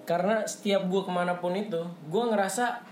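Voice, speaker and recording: A young man from Papua with a Jakarta accent, chatting as a podcast guest in a relaxed, slangy, storytelling way. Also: sits high, brisk. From Papua, Indonesia. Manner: relaxed, slangy, animated retelling. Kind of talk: casual storytelling chat. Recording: echoey room, faint music.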